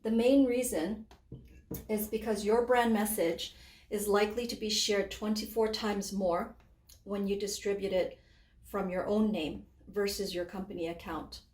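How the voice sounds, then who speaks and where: A woman's voice: medium pitch, steady tone, a little slow, darkish tone, echoey room. A woman in her forties, raised between Hong Kong and Canada, giving a conversational webinar presentation with frequent fillers and restarts. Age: 40s.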